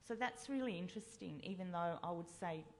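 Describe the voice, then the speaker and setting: Earnest woman with a low voice. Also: a little fast, slight background noise. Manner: earnest, animated when moved. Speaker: panel poet. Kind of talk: panel discussion.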